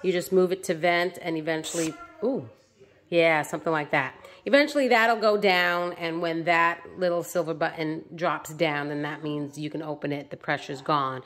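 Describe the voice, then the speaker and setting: A woman's voice: low-pitched, steady tone, normal speed, a bit bright. A woman from the United States in her home kitchen, chatting through each step of her meal prep as she cooks. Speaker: home cook vlogger. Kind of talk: casual cooking narration.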